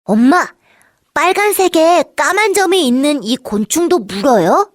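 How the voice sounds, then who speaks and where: A woman voicing a cheerful, curious little boy in a children's storybook, in an excitable childlike manner. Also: high-pitched, unhurried, no room sound, clean studio sound. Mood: curious, delighted. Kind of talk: children's storybook character acting.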